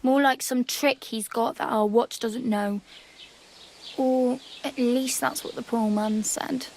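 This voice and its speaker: A higher-voiced village woman. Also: steady tone, takes it slowly, a little mellow, a little hiss or hum. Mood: frank. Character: frank, teasing young village woman, helpful. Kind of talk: video game character dialogue.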